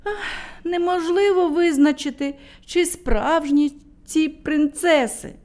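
Woman reading a sighing storybook prince, gentle and plaintive. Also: high-pitched, slow, voice pushed up. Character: sad young prince, gentle and wistful.

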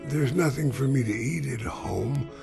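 A poor man with a bad leg, ashamed to beg for help. His voice is heavily gravelly and his speech slow and halting. Clear music plays beneath him. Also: medium pitch. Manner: hesitant, halting, near tears. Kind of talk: dubbed drama acting.

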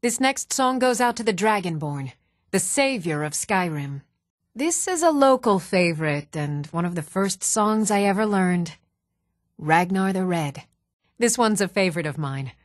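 A woman's voice: mid-range voice, no echo, clean studio sound, natural voice. A woman voice-acting a spirited, blunt female bard in scripted video game dialogue. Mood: announcing.

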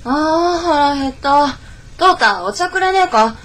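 A woman voicing a cocky, rough-talking young male crow in a radio drama. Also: sits high, expressive, moderate pace, a bit bright. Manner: rough boyish speech. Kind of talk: Japanese radio drama acting.

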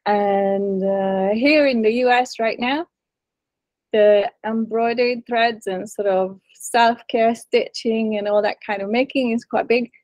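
A woman speaks from her living room over a video call, pausing with fillers as she thinks aloud. The sound is dry and close, with a middle-range voice. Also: mid-range voice, darkish tone, dry recording, muffled recording. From Hungary. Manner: thoughtful, hesitant, many fillers. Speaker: prospective student. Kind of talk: casual introduction on a video call. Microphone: video call laptop mic.

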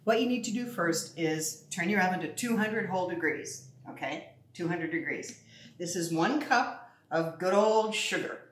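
A woman's voice: lowish voice, normal speed. Chatty woman in an echoey kitchen. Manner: chatty, upbeat, addresses viewers directly. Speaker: cooking show host. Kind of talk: casual cooking demo. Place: home kitchen, indoors. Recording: echoey kitchen, faint noise.

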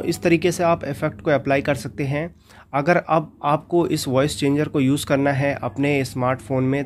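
Man presenting a phone tutorial, noisy recording. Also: on the high side. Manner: repeatedly addresses viewers as friends. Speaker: tech tutorial host. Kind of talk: casual step-by-step phone tutorial. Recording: steady background noise and ambience.

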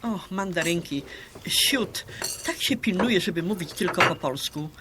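Actress doing brash flirty polish lady around 60, lowish voice swooping all over, radio play banter w english words thrown in. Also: animated, moderate pace, background noise, natural voice. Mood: cheeky. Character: brash, flirtatious Polish woman around sixty, living in America. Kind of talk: radio drama acting.

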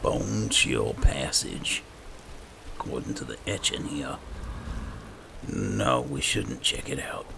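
A man giving roleplay gameplay commentary, muttering and thinking aloud in a gravelly voice. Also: very deep voice, sing-song, slow pace, long pauses. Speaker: gaming channel host. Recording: clear background game noise.

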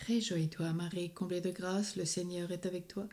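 A woman leading a recited rosary, speaking in a slow, steady, prayerful way. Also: lowish voice. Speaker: prayer leader.

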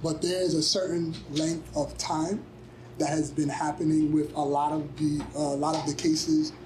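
A man panelist speaks into a handheld mic in a conference room. He explains in a measured, halting way, his voice sitting a little high. A faint hum lies under the speech. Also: little pitch movement, a little mellow, rough recording. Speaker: panelist, community advocate. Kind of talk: panel discussion answer.

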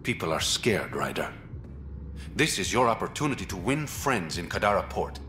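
Game smuggler, male: very low, bright voice, roguish and confident, faint ambient noise behind. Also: very animated, moderate pace, full character voice. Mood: serious, intent. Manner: smooth, sly, teasing, confident. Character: suave, roguish adult male smuggler and informant. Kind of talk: video game dialogue acting.

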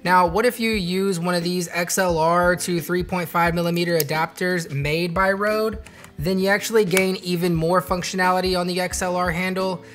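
A man's voice: sits high, fairly even intonation, normal speed, a bit bright, soft background music. A man in his thirties hosting a camera gear tutorial, explaining settings step by step in a friendly way, recorded on clip-on wireless mics in a home living room. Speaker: host of a filmmaking gear channel.

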